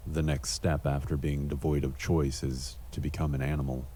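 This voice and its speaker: Very deep, brooding noir antihero. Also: male voice, normal speed, a little hiss or hum. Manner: deadpan, brooding. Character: world-weary cynical male cyberpunk noir antihero, brooding narrator. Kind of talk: noir monologue voice acting in an adventure game.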